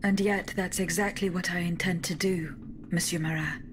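An actress playing a resolute female automaton in a video game, speaking in a formal, measured and terse way. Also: fairly low pitch, steady tone, noisy background, natural voice. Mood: calmly determined. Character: poised, resolute female clockwork automaton huntress. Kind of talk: video game cutscene acting.

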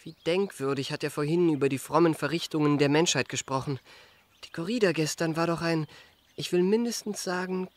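An actor playing a suave young gentleman charmer in a radio drama, courteous and ornately polished. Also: a little high, fairly quick, close to an everyday voice. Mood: courteous, wistful. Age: young adult. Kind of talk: German radio drama acting.

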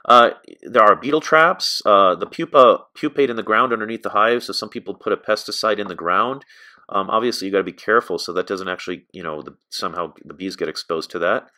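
American male instructor, middle-aged, slightly low pitch, crisp bright tone, informal explanatory delivery. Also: brisk. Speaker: beekeeping instructor. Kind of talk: informal narrated slide lesson.

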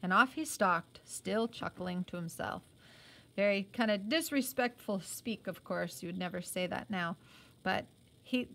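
A woman's voice: mid-range voice, creaky, fairly bright tone. A woman teacher reading a novel aloud expressively, adding explanatory asides.

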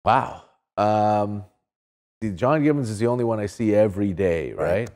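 Low mellow male voice, opinionated, conversational, often asks "right?". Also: normal speed, long reverb tail. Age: middle-aged. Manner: emphatic, checks in with "right?". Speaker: sports commentator guest. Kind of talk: casual opinionated sports talk. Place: small indoor studio set with couches.

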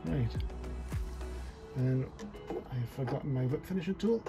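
A man's voice: medium pitch, expressive, a little slow, muffled recording, music in the background. A man giving a casual fly tying tutorial at his desk, chatty and self-deprecating, thinking aloud as he works. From North East England.

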